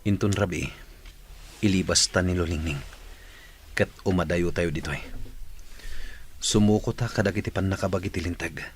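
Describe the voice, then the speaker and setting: Man as a hushed, earnest young bandit. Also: low-pitched, moderate pace, long pauses, a little hiss or hum, lightly acted. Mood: earnest, secretive. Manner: hushed, urgent, conspiratorial. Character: regretful young bandit, earnest secret rescuer of his beloved. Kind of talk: radio drama acting.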